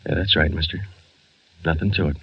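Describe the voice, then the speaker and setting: An actor playing a terse, dogged police detective who also narrates, in a 1940s radio drama. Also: male voice, very deep voice, steady tone, brisk, gravelly, husky, no room sound, exaggerated voice. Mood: weary. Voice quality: clipped, matter-of-fact delivery. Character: terse male police sergeant, flat and dogged. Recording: muffled 1949 radio broadcast with music.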